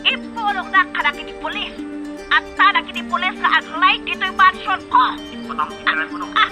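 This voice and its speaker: A woman's voice: very high-pitched, moderate pace, over loud music. A woman playing a furious, betrayed rich crime client in an Ilocano audio drama. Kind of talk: Ilocano radio-style drama acting.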